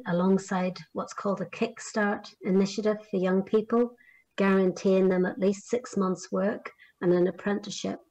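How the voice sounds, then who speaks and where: A middle-aged woman from Northern Ireland addresses a conference, speaking at a measured pace. She often pauses on small fillers and starts her phrases over. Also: mid-range voice, darkish tone, rough recording. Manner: measured, with frequent fillers and restarts. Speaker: conference speaker on career guidance.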